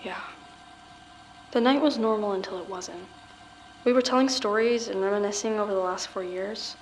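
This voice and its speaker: A woman's voice: medium pitch, normal speed, lightly acted. A woman playing a guarded, subdued teenage girl accused of murder, in an audio drama. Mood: subdued, reminiscing. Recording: faint background noise.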